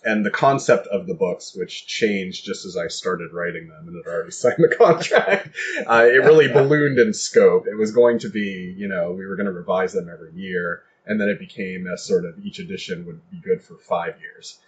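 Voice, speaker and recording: A man's voice: sits low, normal speed. A man chatting casually as an interview guest, talking in a rambling, self-deprecating way. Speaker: guest playwright and author. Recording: dull lo-fi recording with faint noise.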